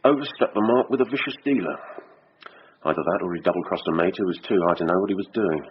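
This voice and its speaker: An actor playing a sceptical, world-weary British police detective, dry and dismissive, in a radio drama. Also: male voice, low-pitched, quick pace, highs cut off, a little hiss or hum, lightly acted. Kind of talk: scripted radio drama acting.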